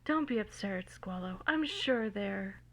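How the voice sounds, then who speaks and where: A woman voicing a composed, mildly sardonic young man in a story, coaxing and measured, recorded on a phone. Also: medium pitch, animated. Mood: reassuring.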